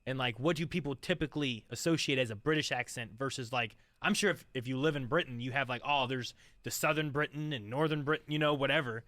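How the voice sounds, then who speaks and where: A man with a bright, forward voice wanders through his thoughts on a podcast, curious and full of restarts and fillers. The sound is dry and close, with almost no room. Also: medium pitch, fast, hardly any pauses, no echo. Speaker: podcast co-host. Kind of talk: casual chat. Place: studio.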